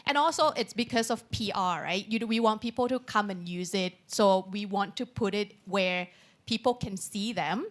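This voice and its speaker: A woman panel speaker giving an unscripted answer in English as a second language, pausing often to search for words. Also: mid-range voice. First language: not English.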